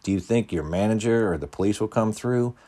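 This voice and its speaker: A man's voice: fairly low pitch, brisk, mellow, pitched above the performer's own voice, close to an everyday voice. A man voicing a kind, folksy woman cashier in an audiobook story, speaking with homespun turns of phrase. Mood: warm, wondering.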